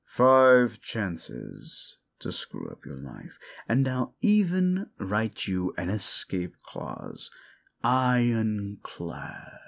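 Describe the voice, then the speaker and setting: A man's voice: fairly low pitch, wildly swooping pitch, gravelly, full character voice. A man voicing a condescending, seductive demon deal-maker in an audio roleplay, speaking in a theatrical, mocking drawl. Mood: sly bargaining. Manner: slow, condescending, theatrical drawl with amused chuckles. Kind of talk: scripted audio roleplay addressed to the listener.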